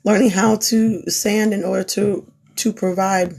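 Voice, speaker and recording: A woman's voice: mid-range voice, steady tone, measured pace, creaky, a little mellow, faint music behind. A young woman who is losing her voice gives an earnest, repetitive pep talk on a live stream. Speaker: small business owner, crafter. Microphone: phone.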